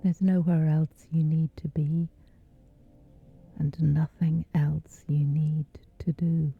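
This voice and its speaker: A woman speaking softly in a whispery, breathy voice, gently and soothingly guiding a sleep meditation with long, measured pauses. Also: low voice, flat delivery. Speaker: guided meditation host. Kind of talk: slow guided sleep meditation. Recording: dull muffled audio under music. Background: music.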